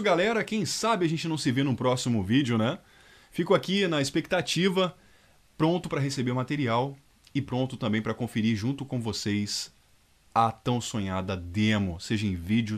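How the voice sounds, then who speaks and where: A man, a fan dub voice actor chatting in a lively, nostalgic way to camera. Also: medium pitch. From Rio de Janeiro state, Brazil. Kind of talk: casual nostalgic testimonial. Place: dark room indoors.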